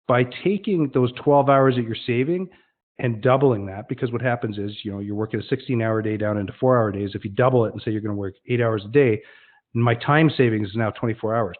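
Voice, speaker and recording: Man presenting over muffled phone-like audio. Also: medium pitch. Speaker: product presenter. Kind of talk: online software demo presentation, informal sales pitch. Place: online web demonstration call.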